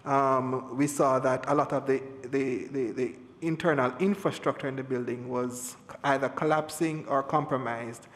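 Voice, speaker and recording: A man, an official explaining things to a committee in a halting way, repeating words, in a reverberant space. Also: mid-range voice, fairly even intonation. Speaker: government official. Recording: reverberant hall.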